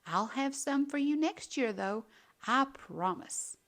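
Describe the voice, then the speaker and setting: A woman acts out a huge, kindly police officer from a storybook. He is playful and mock-dramatic. His pitch moves about widely. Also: lively intonation, takes it slowly, a bit bright, exaggerated voice. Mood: apologetic. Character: giant male police officer, playful, stone-faced, apologetic.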